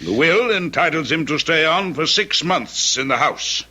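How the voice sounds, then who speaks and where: Pompous, sharp-voiced Victorian gentleman. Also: a little high, expressive, moderate pace, heavily acted. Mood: pompous, unruffled. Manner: pompous, formal. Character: pompous, stern Victorian gentleman and indignant father. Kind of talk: old-time radio drama acting.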